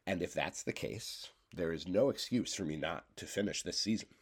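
A man's voice: low-pitched, moderate pace, breathy edge. A man in his thirties, congested and coughing, rambling loosely through a solo podcast from his bedroom. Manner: loose, stream-of-consciousness rambling. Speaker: podcast host. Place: home bedroom.

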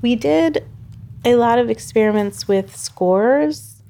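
A woman's voice: on the high side, takes it slowly, mellow. A woman sound artist talking reflectively in an interview, thoughtful and loosely phrased with frequent "like"s.

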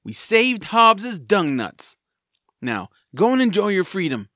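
A man playing an excitable, kind-hearted young boy hero in a family audio drama, with an earnest, playful delivery. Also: high voice, takes it slowly, no echo, studio quality, exaggerated voice. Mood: relieved.

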